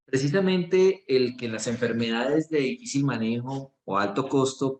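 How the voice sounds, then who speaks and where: A middle-aged Colombian doctor with a Bogotá accent, speaking earnestly and reflectively in an interview, with many fillers and restarts. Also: male voice, mid-range voice, a little mellow. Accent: Colombian Spanish (Bogotá). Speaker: physician and research director. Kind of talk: promotional interview monologue. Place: clinic. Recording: echoey room.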